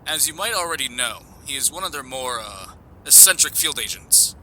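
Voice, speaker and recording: An actor playing a genial, courteous government doctor in an audio drama, formal and careful, heard over a speakerphone. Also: male voice, mid-range voice, animated, moderate pace, pushed and bright, a little hiss or hum, low-quality recording.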